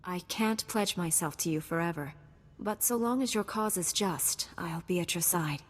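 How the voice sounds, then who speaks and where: A woman's voice: on the low side, moderate pace, big-room sound, close to an everyday voice. An actress playing a principled, composed woman knight in a video game, speaking earnestly. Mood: resolute.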